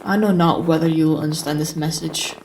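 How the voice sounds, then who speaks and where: A man's voice: on the high side, fairly even intonation, noisy background, lightly acted. Man playing a weary, wry apocalypse survivor. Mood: solemn, hopeful. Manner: wry, sighing, deliberate. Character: weary sarcastic male survivor narrating the apocalypse. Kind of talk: dramatic scripted narration. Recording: music behind the voice. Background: music.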